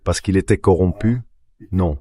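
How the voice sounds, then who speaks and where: Man, very low voice, political commentator, translated voice-over, emphatic and assertive delivery. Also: moderate pace, dark tone. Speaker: interview guest, political commentator.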